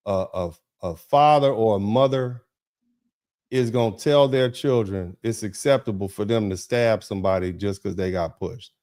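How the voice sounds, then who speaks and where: A man's voice: lowish voice, normal speed, darkish tone. A man hosting a casual talk show, speaking emphatically and often repeating his words as he drives home a point. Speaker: host. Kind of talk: casual commentary chat.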